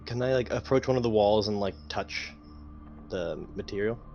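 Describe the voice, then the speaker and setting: Male game player, slightly low pitch, tentative casual delivery, faint music bed. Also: normal speed, a little mellow, muffled recording. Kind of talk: casual table talk.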